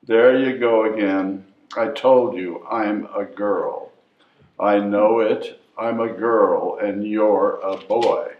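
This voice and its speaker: A man's voice: fairly low pitch, steady tone, slow pace. A man playing a chatty, know-it-all newborn baby in a readers theatre comedy. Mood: insistent. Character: cocky, chatty newborn baby, sure of being a girl. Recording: echoey, muffled stage recording from far off.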